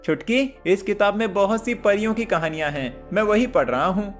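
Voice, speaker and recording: A high, youthful big-brother prince, acted by a man. He is teasing yet patient as he explains, in cartoon-story style with light music behind. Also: fairly quick, natural voice. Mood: caring. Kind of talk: cartoon moral story acting.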